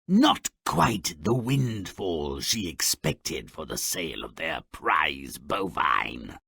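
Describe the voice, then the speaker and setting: A man voicing a grumpy, gleefully malicious little imp who tells fairy tales in a video game, gravelly, raspy, theatrical and mocking. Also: a bass voice, sing-song, extremely slow, pushed and bright, no echo, studio quality, heavily acted. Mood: sardonic, mischievous. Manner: mocking, theatrical, relishing misfortune. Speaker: storyteller character of a fairy tale game. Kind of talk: theatrical storybook narration with game quips.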